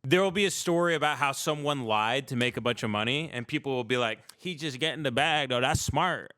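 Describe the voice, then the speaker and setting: A man's voice: medium pitch, a little fast, bright, forceful tone, smooth, no room sound. An American man on a casual livestream, talking in a rambling, opinionated and profane way with plenty of emphasis. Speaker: podcast host.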